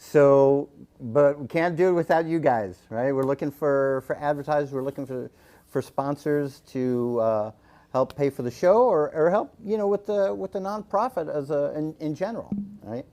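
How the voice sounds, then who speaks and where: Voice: medium pitch, normal speed, dark tone. A radio show host talking casually with a guest, agreeable and chatty, laughing often.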